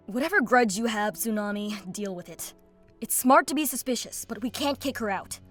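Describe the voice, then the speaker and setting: A woman's voice: a little high, animated, normal speed, soft background music, close to an everyday voice. A woman playing a firm, sardonic young female dragon in an audio drama, speaking assertively. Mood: firm, impatient. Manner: assertive, dry sarcasm.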